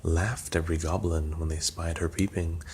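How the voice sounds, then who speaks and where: Deep-voiced man reading poetry, ASMR style. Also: fairly even intonation, normal speed, darkish tone. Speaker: narrator reading poetry aloud. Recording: clear background noise.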